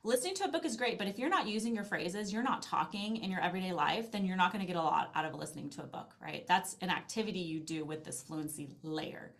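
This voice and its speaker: A woman's voice: lowish voice, quick pace, few pauses, fairly bright tone. A middle-aged woman speaking as a podcast interview guest, explaining in an earnest, conversational way and often restarting her phrases. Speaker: interview guest, language learning company founder.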